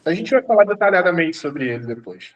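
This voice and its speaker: A man's voice: fairly high pitch, sing-song, a little fast, highs cut off. A man, an apostle, teaching an online church class, exhorting students and repeating his commands, at times laughing as he tells stories.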